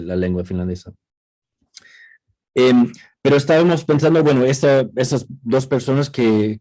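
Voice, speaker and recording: A man's voice: on the low side, dark tone, rough recording. Hesitant man on a video call. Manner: hesitant, many restarts and fillers. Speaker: co-author speaking at a book presentation. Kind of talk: casual panel talk.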